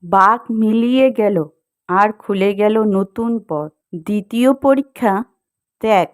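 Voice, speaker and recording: A woman's voice: medium pitch, slow pace, no room sound, clean studio sound. A woman reading scripted fairy-tale narration as the storyteller of a cartoon. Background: music.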